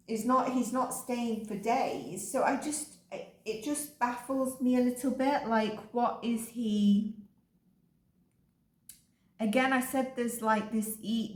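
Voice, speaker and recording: A woman giving a casual psychic reading, rambling and filling her pauses with "um" and "like". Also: mid-range voice. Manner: rambling, with frequent ums and likes. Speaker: psychic energy reader. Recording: echoey room.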